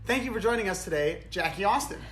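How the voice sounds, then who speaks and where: A woman's voice: medium pitch, lively intonation, fast. A woman interviewing as a radio co-host, casual with a playful mock-formal delivery. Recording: noticeable background noise.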